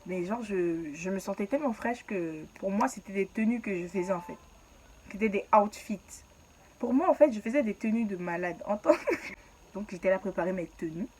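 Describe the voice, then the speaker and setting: A woman's voice: mid-range voice, moderate pace, a little mellow, husky, rough recording. A young woman from Ivory Coast telling her own story in a casual, slangy way and laughing at herself.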